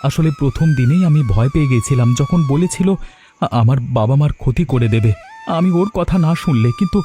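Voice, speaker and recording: A man's voice: on the high side, wildly swooping pitch, brisk, warm tone, faint music behind. A man doing an earnest timid young villager. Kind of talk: cartoon voice acting.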